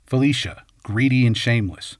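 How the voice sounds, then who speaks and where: A man narrating a scripted film analysis in measured, short punchy phrases. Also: mid-range voice, dry recording, clean studio sound. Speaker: video essay host and narrator.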